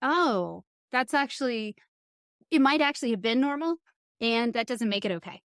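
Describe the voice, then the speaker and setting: A middle-aged woman hosting a podcast, speaking earnestly and thoughtfully in candid conversation, often pausing mid-thought. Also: fairly high pitch, animated, a bit bright, no room sound, clean studio sound. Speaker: podcast host.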